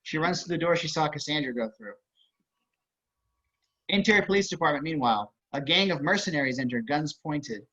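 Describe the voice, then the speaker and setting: A man's voice: on the high side, lively intonation, brisk, sharp tone. A man narrating stage directions at an online table read, with wry, casual asides. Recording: dull video-call audio.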